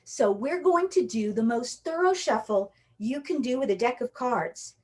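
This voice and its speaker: A woman's voice: fairly high pitch, expressive, moderate pace. A woman from Hawaii performing as a magician for an online show, with playful, jokey stage patter and a rhyming story.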